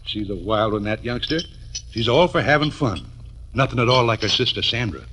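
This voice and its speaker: An actor playing a chatty, folksy small-town diner owner in a 1940s radio drama. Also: male voice, medium pitch, lively intonation, fairly quick, fairly bright tone, natural voice. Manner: folksy, talkative, colloquial. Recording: noisy old 1940s radio recording.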